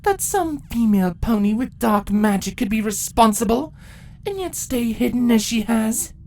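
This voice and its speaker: A woman's voice: on the high side, expressive, moderate pace, dark tone. A woman voicing regal changeling queens and a pony in a dramatic fantasy story, speaking formally and theatrically. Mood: formal, arguing. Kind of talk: dramatic story character acting.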